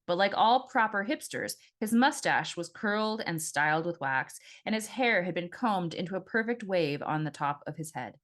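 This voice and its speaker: A woman reading her own fiction audiobook as an expressive first-person narrator. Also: lowish voice, normal speed, hardly any pauses.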